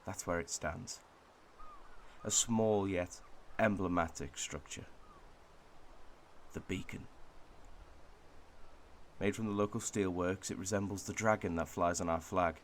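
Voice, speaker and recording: A man playing a wistful Welshman, delivering a pensive, measured monologue in a radio drama. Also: sits low, steady tone, slight background noise. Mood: proud, reflective. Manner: pensive, measured, with thoughtful pauses. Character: wistful Welshman reflecting on his home village and heritage.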